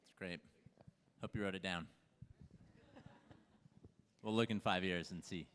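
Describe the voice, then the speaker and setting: A man's voice: low voice, unhurried, a bit bright, slight background noise. A man facilitating a forum, passing the microphone along with brief, encouraging remarks.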